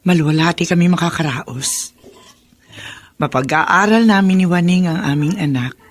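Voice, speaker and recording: A woman's voice: fairly low pitch, moderate pace, close to an everyday voice. An actress playing a caring, teasing mother in a Filipino radio drama, with a Filipino accent. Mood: hopeful.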